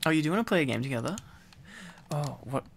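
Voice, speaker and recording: A young man doing a boyfriend ASMR roleplay, clingy, teasing and silly, rambling playfully with halting repetitions. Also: on the high side, lively intonation, vocal fry, a little hiss or hum.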